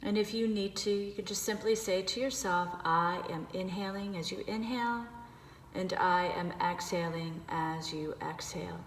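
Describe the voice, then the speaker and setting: Woman, lowish voice, meditation guide, gentle unhurried instruction, pauses, very echoey recording. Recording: echoey reverberant room with background noise.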